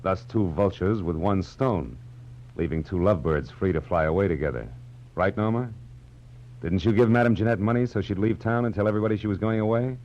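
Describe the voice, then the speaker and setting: Actor as deep-voiced, world-weary 1940s radio detective. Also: male voice, fairly quick, a little mellow, slight background noise, natural voice. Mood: wry, weary. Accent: American. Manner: wry, clipped, brooding. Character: world-weary, hard-boiled male private detective. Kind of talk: 1940s radio noir acting and narration. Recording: dull 1940s radio recording with music.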